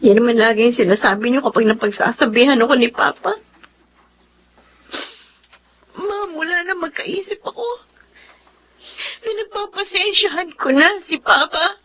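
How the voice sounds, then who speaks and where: A woman's voice: high voice, moderate pace, a bit bright, slight background noise. A woman playing a defiant young daughter pleading for her own dreams, in emotional Filipino radio drama style with a Filipino accent. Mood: bitter, resentful. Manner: emotional, tearful, emphatic. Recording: music bed under the dialogue.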